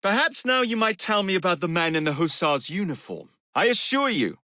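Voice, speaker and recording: An actor with an English accent playing a cocky, roguish young Victorian gang leader in a video game, with a cheeky, wry, theatrical manner. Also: male voice, sits high, very animated, brisk, bright, forceful tone, no echo, clean studio sound, heavily acted. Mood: confident, wry.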